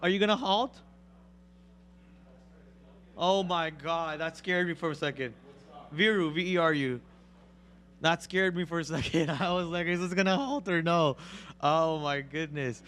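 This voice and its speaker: A raspy young man hosting a live stream, talking through his trades and calling out prices as they move. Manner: rapid excited play-by-play, gets loud and high when a stock moves. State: raspy voice from a cold. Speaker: day trader and co-host. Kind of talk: live trading commentary.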